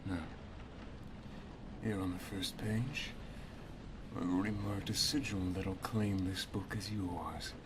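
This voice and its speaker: Man playing a low-voiced, doting wizard master. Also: slow pace, pauses often, background noise. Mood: gentle, proud. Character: doting male wizard master, teasing and affectionate boyfriend. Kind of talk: ASMR roleplay, scripted.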